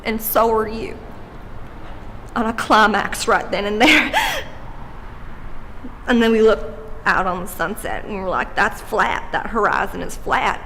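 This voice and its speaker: Naive lovestruck girlfriend, sweet and gushing, bright voice, played by a woman. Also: medium pitch, unhurried, long pauses, in a large hall, slight background noise, low-quality recording. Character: dreamy lovestruck small-town girlfriend.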